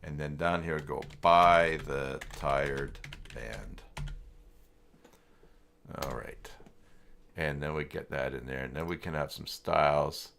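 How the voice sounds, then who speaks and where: A man hosting a casual live software tutorial, thinking aloud in an informal, unscripted way as he works through the steps. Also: a bass voice, fairly even intonation, slow, gravelly, long pauses, slight background noise. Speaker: livestream host and software tutor.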